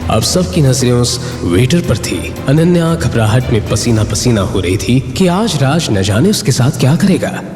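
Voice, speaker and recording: Animated male narrator in roomy reverb. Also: medium pitch, measured pace, hardly any pauses. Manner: suspenseful, emphatic storytelling. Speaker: narrator of an audio drama series. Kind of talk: dramatic scripted story narration. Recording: roomy long reverb, music bed.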